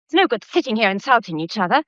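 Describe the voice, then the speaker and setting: An actress playing a spirited, impatient young granddaughter in a mystery radio drama, forthright and indignant. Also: female voice, a little high, very animated, fast, a bit bright, dry recording. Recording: dull, muffled radio drama recording.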